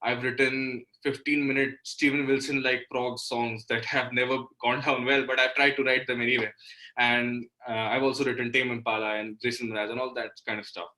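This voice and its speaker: A man's voice: mid-range voice, pushed and bright, low-quality recording. A man from Delhi with an Indian accent, talking casually as an interview guest. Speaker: guest, indie musician.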